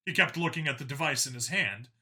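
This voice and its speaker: A man's voice: mid-range voice, quick pace, pushed and bright. A man narrating a science fiction audiobook in a dramatic, scripted storytelling style.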